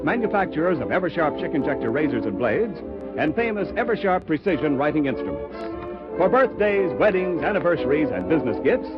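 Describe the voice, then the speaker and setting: An American man announcing and reading ads on 1940s radio in an emphatic, polished sales manner. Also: a little high. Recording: muffled 1940s radio recording, music.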